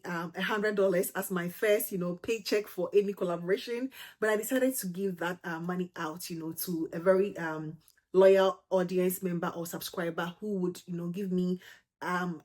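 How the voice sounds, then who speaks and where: Chatty Ghanaian woman reviewing perfumes. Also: on the low side. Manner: chatty and gushing, peppered with "you know" and "um". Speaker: fragrance channel host. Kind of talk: casual fragrance review to camera.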